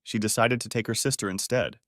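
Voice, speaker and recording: A man's voice: on the low side, fairly even intonation, a little fast, a bit bright, dry recording, studio quality, natural voice. A man voicing a polite, understated, neglected husband in a narrated story. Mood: resigned. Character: quiet, neglected married man on holiday.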